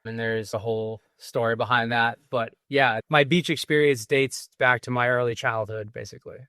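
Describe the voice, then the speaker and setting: A man's voice: mid-range voice, steady tone, moderate pace, sharp tone, clean tone, no room sound, studio quality. A man in his forties with a Massachusetts accent, a podcast guest chatting casually and rambling through his stories with many restarts. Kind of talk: casual interview talk.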